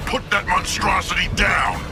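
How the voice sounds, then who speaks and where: An actor playing a brash, aggressive alien brute war chief in a video game, boastful and given to barking orders. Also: male voice, unhurried. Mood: battle-hungry. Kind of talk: dramatic video game acting. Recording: loud game music and battle booms. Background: loud music, explosions, vehicles.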